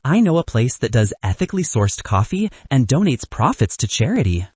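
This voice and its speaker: Man voicing a wry, husky cynical best man. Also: medium pitch, very animated, brisk, a little mellow, no room sound. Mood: eager, flirty.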